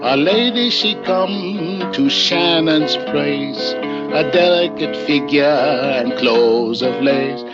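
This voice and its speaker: An actor playing a calypso balladeer in a 1950s radio drama, with a deferential manner. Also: male voice, on the high side, slow pace. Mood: lilting, wry. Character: genial Caribbean calypso balladeer and barman, male. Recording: muffled old radio over guitar.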